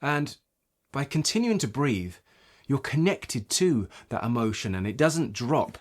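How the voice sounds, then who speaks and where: A man's voice: mid-range voice, very animated, measured pace. A man giving an instructional talk as a voice coach, explaining points emphatically and checking in with short rhetorical questions. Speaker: voice acting coach.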